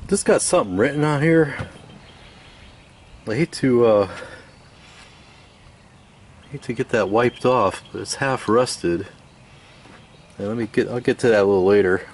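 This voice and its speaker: A man giving a casual hands-on restoration demonstration, musing aloud in an unhurried, hesitant way as he works. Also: mid-range voice. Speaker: radio restoration hobbyist.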